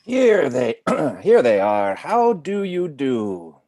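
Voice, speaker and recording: A man's voice: medium pitch, takes it slowly, no echo, exaggerated voice. A man playing a blustering, obstinate estate manager in a play reading, emphatic and formally polite. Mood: hearty. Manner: emphatic, animated. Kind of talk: cold play reading over video call.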